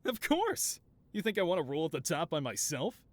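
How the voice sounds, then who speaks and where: A man's voice: a little high, sing-song, normal speed, dry recording. A male voice actor playing an affable supervillain scientist boss in a scripted audio roleplay, talking in a doting, theatrical way. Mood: grandiose, playful. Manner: doting, theatrical, softly reassuring then grandiose. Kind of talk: scripted audio roleplay, one-sided conversation.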